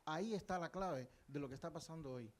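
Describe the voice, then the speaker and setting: A man's voice: a little high, brisk. An older man from Cuba, speaking earnestly from the audience at a panel, with many fillers and restarts. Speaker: audience member, historian. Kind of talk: public panel discussion.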